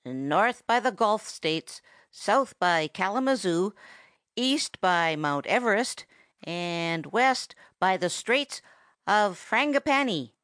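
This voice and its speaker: A woman's voice: mid-range voice, expressive, takes it slowly, fairly bright tone, no room sound, clean studio sound. A woman voicing a bluffing, cocky male raccoon in a storybook, with a blustering, self-assured manner. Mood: confidently bluffing.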